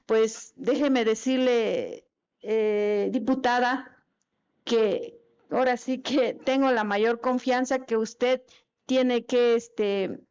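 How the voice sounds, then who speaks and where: A woman from Chiapas speaking to lawmakers at a meeting, halting, with many fillers and restarts. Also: a little high, fairly even intonation, darkish tone, clean tone. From Chiapas, Mexico. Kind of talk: formal public address. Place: legislative meeting room. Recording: dull lo-fi event audio.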